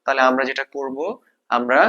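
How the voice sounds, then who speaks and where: A man's voice: mid-range voice, monotone, fairly quick, bright, forceful tone, lo-fi sound. Bangladeshi man teaching, repeating key points. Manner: repeats key points, asks and answers his own questions. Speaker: English grammar teacher. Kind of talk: exam-prep grammar lesson, explaining step by step.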